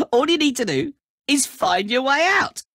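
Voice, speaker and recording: A man's voice: very high-pitched, very animated, unhurried, a bit bright, dry recording, studio quality, exaggerated voice. An actor playing a giddy, taunting, all-powerful snowman trickster in a video game, with a gleeful, mocking, hammy delivery. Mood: playful taunting.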